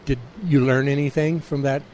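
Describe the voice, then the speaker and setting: A male radio host interviewing a guest, speaking in a measured way with frequent pauses. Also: mid-range voice, little pitch movement, darkish tone, slight background noise.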